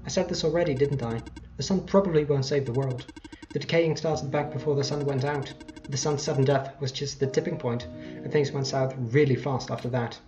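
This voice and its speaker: A reflective robot from a video game, read out by a let's player. It speaks in measured, resigned phrases at a medium pitch, with music behind. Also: natural voice, poor audio. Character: reflective, resigned tamed robot who plays chess.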